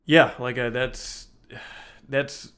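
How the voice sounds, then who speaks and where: Male co-host, mid-pitched voice, wry and joking, informal conversational delivery. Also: steady tone, extremely slow, fairly bright tone, clean tone. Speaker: podcast co-host. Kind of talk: casual chat.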